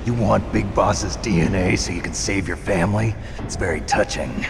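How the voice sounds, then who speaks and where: An actor playing a tough, sardonic soldier hero in a video game, with a gravelly voice. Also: male voice, deep voice, moderate pace, noisy background. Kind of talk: dramatic video game acting. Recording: echoing hall under loud music.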